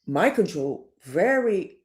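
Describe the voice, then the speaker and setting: A woman's voice: lowish voice, slow pace. An older woman, a spiritual teacher, talking in a digressive, emphatic personal monologue. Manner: digressive, emphatic, lively up-and-down delivery.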